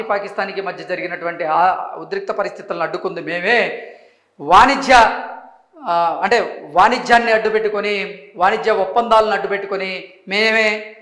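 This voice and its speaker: A man presents news in a very high, bright, forceful voice. He phrases each point with deliberate emphasis. The room adds a noticeable echo. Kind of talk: news report with commentary. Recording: roomy, echoing voice recording.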